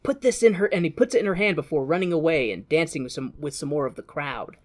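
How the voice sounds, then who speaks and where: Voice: medium pitch, expressive, quick pace. A podcast co-host giving a casual, jokey, irreverent retelling of a film.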